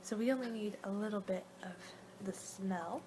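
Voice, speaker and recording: A woman performing a playful ASMR roleplay, rambling along in soft speech and whispers as if losing her train of thought. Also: medium pitch, normal speed. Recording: clear background noise.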